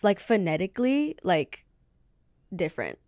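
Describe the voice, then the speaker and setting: A woman's voice: medium pitch, sing-song, unhurried, darkish tone, no room sound, clean studio sound. A young woman from the Philippines, a singer answering interview questions casually and hesitantly, with many fillers as she searches for words. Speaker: guest singer.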